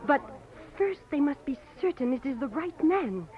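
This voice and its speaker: A woman's voice: high voice, sing-song, moderate pace. An actress playing an anxious, guarded young French woman with a French accent and a hesitant, polite manner, in a 1940s radio drama. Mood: guarded, earnest. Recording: muffled old radio broadcast recording.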